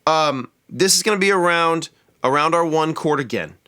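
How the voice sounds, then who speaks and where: A man's voice: on the high side, lively intonation, normal speed, sharp tone, clean tone. A man giving a casual lap steel guitar lesson. He chats as he plays and counts out the scale degrees of each lick. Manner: chatty, explains while playing, counts out scale degrees. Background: lap steel guitar playing.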